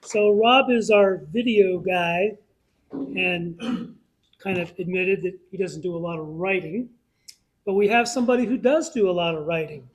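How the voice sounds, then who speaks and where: A man with a notably high voice hosts a club meeting. He introduces speakers in an informal, conversational style. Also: unhurried, rough recording.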